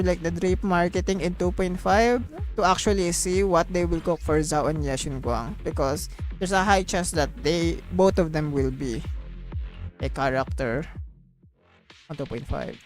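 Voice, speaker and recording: A streamer chatting casually on a livestream in a rambling way, often repeating phrases. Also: mid-range voice.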